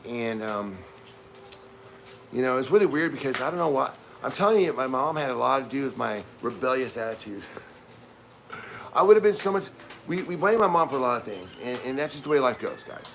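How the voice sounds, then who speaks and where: A man's voice: medium pitch, lively intonation, fairly quick, creaky, fairly bright tone, a little hiss or hum. A man in his fifties chatting casually on a livestream from his home kitchen, rambling with many restarts. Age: 50s. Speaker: livestream co-host.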